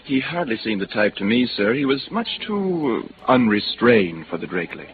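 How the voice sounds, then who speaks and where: A man's voice: mid-range voice, very animated, normal speed, narrow, tinny audio, lightly acted. Guy acting snooty polite bartender in old radio play, formal flowery talk, noisy thin recording. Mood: confiding, formal. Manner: pompous, formal, flowery wording. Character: pompous, courteous, discreet male bartender at an exclusive bar. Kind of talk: 1950s radio drama acting.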